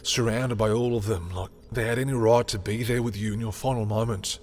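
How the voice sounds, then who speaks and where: A man playing a lovestruck, bitter villain in an intimate audio roleplay, speaking in a halting, emotional way. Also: lowish voice, steady tone, soft background music, natural voice. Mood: wistful, sardonic. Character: lovestruck, bitter male villain confessing to a rival.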